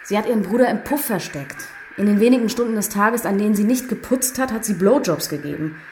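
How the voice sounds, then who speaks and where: An earnest woman detective played by an actress in a radio crime drama. She briefs in a medium-pitched, businesslike voice with clear ambient noise around her. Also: fast talker, darkish tone, close to an everyday voice. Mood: matter-of-fact. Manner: matter-of-fact briefing. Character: businesslike police investigator woman, brisk and earnest.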